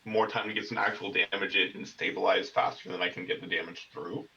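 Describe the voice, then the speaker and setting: A man discussing game strategy casually in a measured, explanatory way, as a player seeking advice over a voice call. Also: low-pitched, fairly even intonation, creaky, bright, forceful tone. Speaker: card game player getting deck advice. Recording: dull, distant voice-call microphone.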